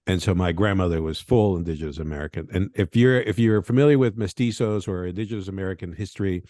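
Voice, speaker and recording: A man's voice: low-pitched, quick pace, warm tone, dry recording, studio quality. A man from Venezuela, a game designer talking loosely in a podcast interview, often tagging thoughts with "right?". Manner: rambling, tag questions like "right?".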